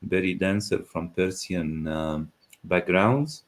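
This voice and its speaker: Deep-voiced man, hesitant host. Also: takes it slowly. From Egypt. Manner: hesitant, many uh fillers. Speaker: interviewer, host. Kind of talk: live video call interview. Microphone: video call.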